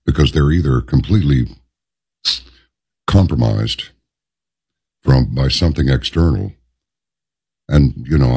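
A man's voice: very deep voice, warm tone, breathy edge, no echo, studio quality. A man hosting a political talk show who asks rambling, indignant questions and restarts his sentences. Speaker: host.

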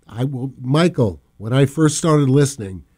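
A man from Massachusetts co-hosting a radio show, rambling casually, trailing off and often clearing his throat. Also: mid-range voice, animated, moderate pace, warm tone. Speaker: radio co-host. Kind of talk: casual talk radio banter.

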